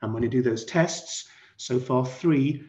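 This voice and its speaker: A middle-aged man from West Wales presents as the head of a college. He explains point by point, setting up rhetorical questions and stressing key phrases by repeating them. His voice sits at an ordinary male pitch, heard through slightly dull, lo-fi video call audio. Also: measured pace, darkish tone. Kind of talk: online presentation to prospective families.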